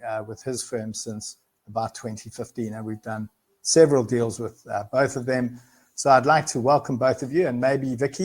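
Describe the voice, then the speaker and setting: A man hosting a business webinar, talking quickly with many fillers and friendly welcomes. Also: medium pitch, a little mellow. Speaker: webinar host, firm representative.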